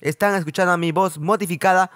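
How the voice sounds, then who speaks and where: A young man, giving casual, excitable gameplay commentary full of swearing and trolling banter. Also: high voice, fast, background noise. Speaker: gaming video host.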